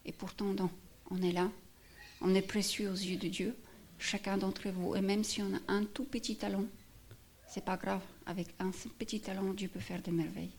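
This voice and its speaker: Woman, gravelly even testimony. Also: mid-range voice, moderate pace, a little hiss or hum. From Poland. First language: Polish. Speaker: church member giving testimony. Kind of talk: personal testimony and prayer.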